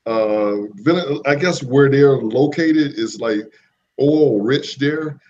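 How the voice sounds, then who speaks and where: A man's voice: mid-range voice, takes it slowly, a little mellow. A man on a podcast panel, rambling casually with many fillers. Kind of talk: casual chat. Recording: dull remote line with faint noise.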